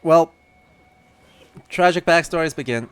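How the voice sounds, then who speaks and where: A man's voice: on the high side, unhurried, fairly bright tone, clean tone, dry recording. A man giving casual live game commentary as a streamer, chatty and wry with scholarly asides. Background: faint game music.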